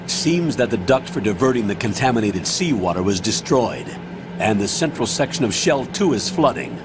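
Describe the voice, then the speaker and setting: A man's voice: on the low side, big pitch swings, moderate pace, noisy background. An actor playing a commanding male colonel giving curt orders over the radio in a video game. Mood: stern. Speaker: mission commander. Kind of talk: video game cutscene voice acting.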